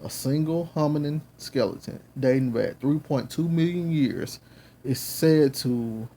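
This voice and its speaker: Southern guy doing a bible lesson from home, earnest, lots of ums, low gravelly voice. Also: a little slow, dark tone, a little hiss or hum. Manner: earnest, rambling, many fillers and restarts. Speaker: Bible teacher. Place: home with children and babies around.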